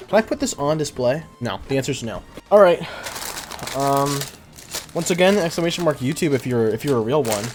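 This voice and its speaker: A man's voice: mid-range voice, lively intonation, fairly quick, over music. A young adult man livestreaming in a casual, slangy, profane and self-deprecating way while he chats with viewers. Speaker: livestreamer, host.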